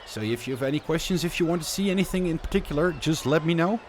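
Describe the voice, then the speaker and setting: A man's voice: fairly high pitch, lively intonation, quick pace, a little hiss or hum. A Dutch man with a Dutch accent, chatting casually through live gameplay commentary and answering viewer questions as he builds. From Netherlands. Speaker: streamer.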